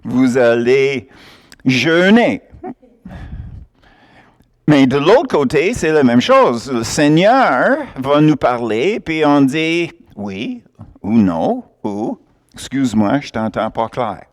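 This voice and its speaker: A man's voice: mid-range voice, big pitch swings, slow. A man preaching a sermon, emphatic and often laughing as he acts out little exchanges.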